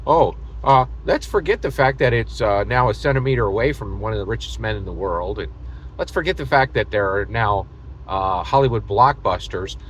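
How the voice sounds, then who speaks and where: An American man hosting a casual, opinionated gaming monologue, speaking emphatically and repetitively, often halting mid-thought and leaning on hyperbole. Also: lowish voice, expressive, a bit bright, slight background noise. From United States. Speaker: host of a tabletop gaming video channel.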